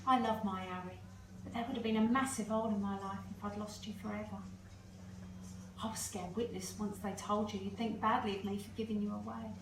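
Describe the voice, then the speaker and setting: A woman's voice: medium pitch, fairly even intonation, brisk, noisy background, close to an everyday voice. Woman acting wistful middle-aged mother, distant roomy recording. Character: middle-aged birth mother, wistful, anxious, devoted to her secret daughter. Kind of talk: amateur stage-play acting, naturalistic dialogue.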